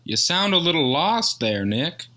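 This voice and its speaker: A man playing a skeptical, mocking TV talk show host in a radio drama, deliberate and patronizing. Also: on the high side, very animated, sharp tone, exaggerated voice. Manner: deliberate, condescending. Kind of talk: high school radio drama acting.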